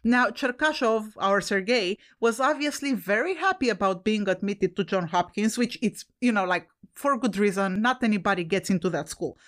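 A woman's voice: medium pitch, animated, normal speed, hardly any pauses, fairly bright tone. A woman co-hosting a podcast with an Italian accent, chatting casually, laughing often and swearing now and then. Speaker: podcast co-host.

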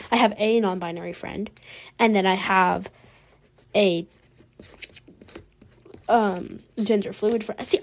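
A young woman with a Southern American accent hosting a casual solo podcast, rambling and giggling while she draws. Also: medium pitch. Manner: rambling, giggly, animated, lots of fillers. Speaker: podcast host.

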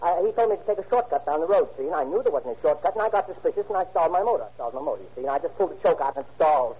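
Excitable, digressive cabbie for vintage radio drama: rapid-fire, rough-edged, muffled old recording. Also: male voice, a little high. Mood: nervous rambling. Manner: chatty, digressive, flustered. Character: nervous, rambling, excitable male cab driver. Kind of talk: old-time radio crime drama acting.

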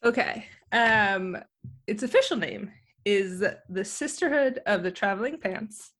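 A woman in her late thirties co-hosting a podcast, chatting casually from her work desk with quick rambling bursts and frequent giggles. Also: mid-range voice, fairly bright tone. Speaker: podcast co-host.

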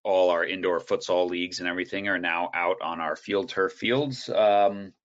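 A man guest on a video call, telling his coaching story in a relaxed, rambling way with many fillers and restarts. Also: low voice, moderate pace. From United States. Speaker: guest speaker, soccer coach educator. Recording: dull, lo-fi call audio.

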